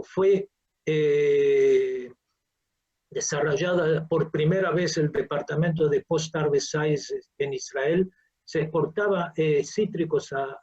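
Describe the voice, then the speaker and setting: An older man giving an online scientific lecture, halting and often repeating his words over a video call. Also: a little high, a little mellow, slightly rough, dull audio. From Uruguay. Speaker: professor and researcher, lecturer.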